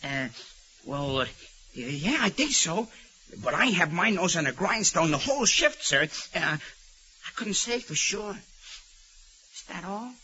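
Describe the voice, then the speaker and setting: Casting: servile, shifty jailbird snitch, very sharp and lively, old radio drama sound. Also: male voice, sits high, slow pace, pauses often, highs cut off, heavily acted. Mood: nervous, evasive. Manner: hesitant, stammering, feigned innocence. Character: shifty, nervous male convict informer, slangy and servile. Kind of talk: 1940s radio acting. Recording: band-limited, noisy vintage 1940s radio recording.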